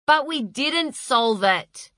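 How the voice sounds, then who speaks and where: A woman's voice: sits high, animated, slow, pushed and bright, no echo, studio quality, pitched above the performer's own voice, full character voice. A woman voicing a blunt, affronted woman held captive, speaking emphatically in an audiobook. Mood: offended.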